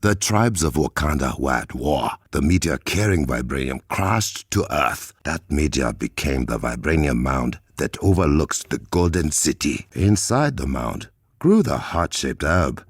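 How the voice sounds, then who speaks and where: An actor playing a regal, commanding warrior king in a video game, with an African accent, measured formal diction and a gravelly, husky voice. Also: extremely low pitch, very animated, no room sound, clean studio sound, exaggerated voice. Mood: solemn, reverent. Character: regal, commanding warrior king, stern and proud, adult man.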